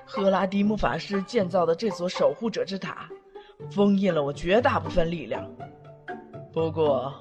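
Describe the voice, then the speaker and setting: A man's voice: high-pitched, highs cut off. An actor playing a lovesick, whiny male demon lord trapped in a tower, grand but turning pleading and sulky, in an amateur radio drama. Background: background music.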